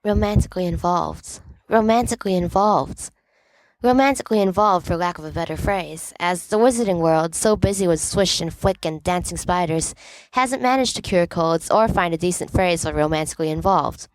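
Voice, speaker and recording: Low-pitched woman reading a story aloud. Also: moderate pace, dry recording, poor audio. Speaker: volunteer audiobook reader of fan fiction. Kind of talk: expressive scripted narration of a story.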